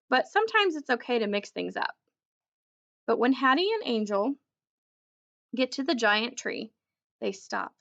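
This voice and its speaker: Female storybook reader, high voice with creaky edges, expressive narration, slightly muffled recording. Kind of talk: children's book read-aloud, expressive narration.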